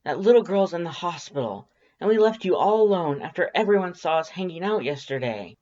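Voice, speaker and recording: Someone voicing a fierce, loud fish-monster woman, pitched high. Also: expressive, fairly quick, muffled recording, natural voice. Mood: angry, worried. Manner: accusing, blunt, intense.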